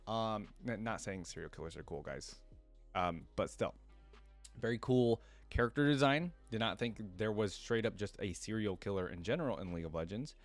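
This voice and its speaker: A man's voice: fairly low pitch, no room sound. A man hosting a reaction video, reading aloud and commenting casually in plain, conversational phrasing.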